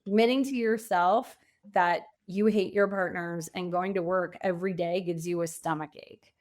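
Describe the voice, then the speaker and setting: A woman's voice: on the low side, fairly even intonation, normal speed, darkish tone. A woman speaking as a coach and guest in a conversational podcast interview, reflective and emphatic in her phrasing.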